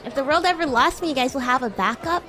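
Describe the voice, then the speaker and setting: A woman's voice: sits high, fast, over music, a crowd in the background, lightly acted. A woman voicing a bratty young demon schoolgirl in a roleplay stream, teasing and stammering when flustered. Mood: smug, teasing. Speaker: VTuber streamer playing a character. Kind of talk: improvised roleplay livestream, chatting with viewers.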